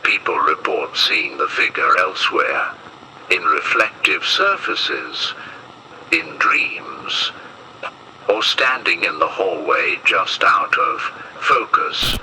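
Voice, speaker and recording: A man reading scripted analog-horror narration as an official warning, in a grave, ominous and measured manner. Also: sits low, expressive, noisy background.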